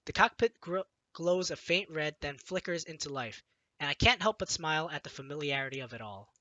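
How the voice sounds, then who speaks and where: Bright-voiced man reading a game aloud. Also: a little high, lively intonation, brisk, dry recording, highs cut off. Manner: first-person narration with light laughs. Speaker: let's-play reader. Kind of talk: reading a visual novel aloud.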